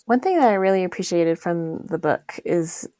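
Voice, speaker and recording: Chatty young woman, low voice, dry close sound. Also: lively intonation, normal speed, dark tone, dry recording. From Connecticut, USA. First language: English is her third language. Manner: chatty, many "like" fillers, laughs easily. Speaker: book club podcast co-host. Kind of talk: casual chat.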